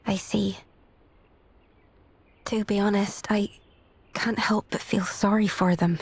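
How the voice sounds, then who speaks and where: A compassionate young female healer in a fantasy video game. Her voice is soft and breathy, at a middle pitch, with a tender, hesitant manner. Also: steady tone, slow, no echo. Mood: tender, sorrowful. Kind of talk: video game cutscene acting.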